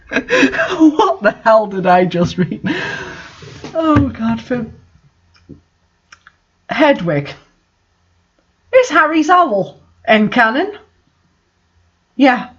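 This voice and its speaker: A man's voice: extremely high pitch, slightly rough, muffled recording. A man reading bad fan fiction aloud as host, dramatically, with mocking, exasperated and sweary commentary.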